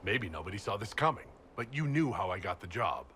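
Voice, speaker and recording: A man's voice: on the low side, very animated, fairly quick, fairly bright tone, a little hiss or hum. A man playing a stern, guarded commander in a video game, speaking in a curt, restrained way. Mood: dry. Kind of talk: video game dialogue acting.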